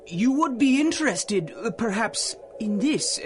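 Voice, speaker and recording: An actor playing an obsequious, sly keeper of an exotic curio shop in a radio drama, speaking with flowery, servile formality. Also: male voice, very high voice, a little slow, slight background noise. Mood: servile flattery. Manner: flowery, servile flattery with formal old-fashioned phrasing. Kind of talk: 1930s-set radio drama acting.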